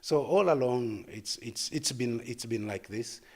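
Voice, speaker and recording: A man of about sixty, giving halting unscripted interview answers and repeating words as he thinks. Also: medium pitch, a little mellow. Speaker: artist and gallery founder being interviewed.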